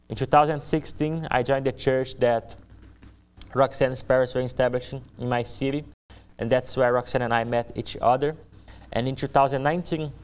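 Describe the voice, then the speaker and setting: A young man from Brazil speaks English with a thick Brazilian Portuguese accent, his first language being Portuguese. He presents his missions work to a church congregation in an earnest, friendly way, choosing his words with care. His voice comes through smooth and clean, at a middling pitch. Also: darkish tone. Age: young adult. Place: church service.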